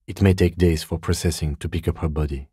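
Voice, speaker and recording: A very deep-voiced, composed police detective for a video game. He speaks in a measured, deadpan way, with a mellow, husky tone and a dry, close sound. He comes across as dutiful and restrained. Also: no room sound, heavily acted. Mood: firm, steadying. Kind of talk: video game dialogue acting.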